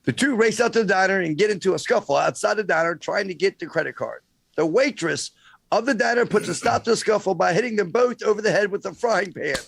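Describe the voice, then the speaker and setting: A man reading scripted stage directions as a show's sidekick, with a gravelly, raspy voice. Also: high-pitched, animated, fast, dry recording. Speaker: sidekick host reading narration and bit parts.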